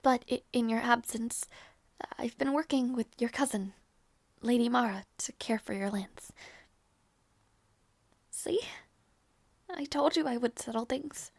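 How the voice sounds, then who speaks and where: A woman playing a gentle, earnest young princess in a romantic fantasy audio roleplay, speaking softly and hesitantly with tender affection. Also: fairly high pitch, gravelly, dry recording. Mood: earnest, reassuring. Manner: soft, hesitant, tender, halting delivery.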